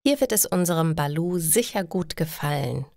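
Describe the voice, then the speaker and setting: A woman's voice: deep voice, lively intonation, normal speed, vocal fry, a little mellow, no echo, studio quality. A woman voicing a trusting, caring dog owner in a story, friendly and earnest. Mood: confident, caring.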